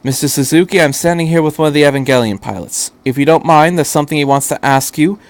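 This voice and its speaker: Man reading as brisk, breezy doctor adventurer. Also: on the high side, dry recording, lightly acted. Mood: breezy, prodding. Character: breezy, commanding male time-travelling doctor.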